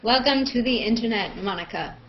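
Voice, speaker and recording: A woman hosting an online group hangout, chatting casually and informally and reading aloud in an animated way. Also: fairly high pitch, fairly quick, slight background noise. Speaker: host of a video hangout, actress. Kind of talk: casual video-call chat and reading comments aloud. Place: online group video call. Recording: dull, lo-fi video call audio.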